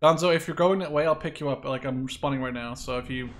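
A man in casual gaming chat, excitable and sweary, bossing his squad with repeated callouts. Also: mid-range voice, fast. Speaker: gamer leading his squad.

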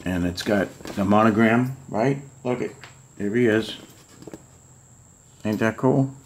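Middle-aged man, very low voice, loose rambling show-and-tell, faint hiss behind. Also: slow pace. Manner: rambling, musing aloud, many fillers. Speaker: model kit collector showing books. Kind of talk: casual show-and-tell vlog. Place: home room full of model kit boxes.

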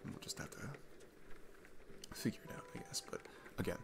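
A man playing a shy, sweet single dad smitten with his babysitter, speaking gently and haltingly in a romantic ASMR roleplay. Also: music in the background, lightly acted. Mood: earnest, tender.

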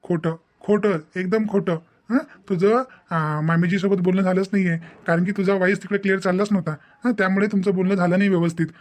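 Man voicing fast-talking, high-voiced devoted young husband. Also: steady tone, natural voice. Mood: insistent, caring. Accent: Marathi of Maharashtra. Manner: insistent, lecturing, frequent questioning tags. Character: devoted, earnest, gently scolding young husband. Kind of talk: cartoon family drama voice acting.

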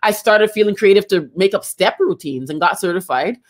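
A woman's voice: medium pitch, lively intonation, brisk. A middle-aged woman from Canada, chatting emphatically as she hosts a music workshop over a video call from a home room. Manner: chatty and emphatic, talks with her hands. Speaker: singer hosting a music workshop. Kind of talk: casual video-call chat. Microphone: studio microphone on a video call.

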